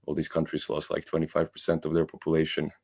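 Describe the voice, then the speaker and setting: A man from Croatia with a Croatian accent, talking casually about politics as a guest, often repeating his phrases haltingly. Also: a bass voice, monotone, creaky. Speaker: guest commentator.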